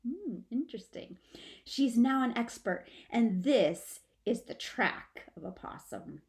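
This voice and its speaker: A woman reading a children's book aloud like a teacher, with dramatic, expressive shifts of emphasis. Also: fairly high pitch, takes it slowly, creaky. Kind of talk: expressive storybook read-aloud.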